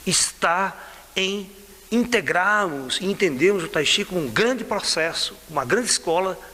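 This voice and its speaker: Older Brazilian man, bright lively storytelling. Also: on the high side. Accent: Brazilian Portuguese. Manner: lively, laughs often, keeps asking "né?" and "sabe?". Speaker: tai chi teacher. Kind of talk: casual storytelling to camera. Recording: reverberant room with faint hiss.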